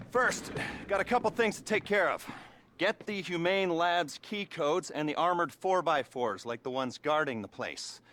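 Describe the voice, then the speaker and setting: An actor playing a cocky, wry handler briefing a heist crew in a video game, talking in a sardonic, conversational way. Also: male voice, a little high, a bit bright. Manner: sardonic, conversational, foul-mouthed. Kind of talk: video game cutscene acting.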